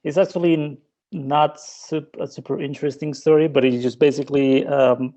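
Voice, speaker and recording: A man's voice: on the high side, steady tone, moderate pace, warm tone. A man from Spain, a guest in an interview, talking casually with many fillers and restarts.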